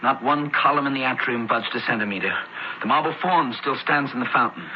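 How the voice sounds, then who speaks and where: A man's voice: medium pitch, a little fast, natural voice. Devout, concerned young Roman, male actor, formal earnest speech, muffled radio play with faint noise. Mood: relieved concern. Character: devout, worried young Roman brother studying priesthood. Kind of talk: radio drama acting.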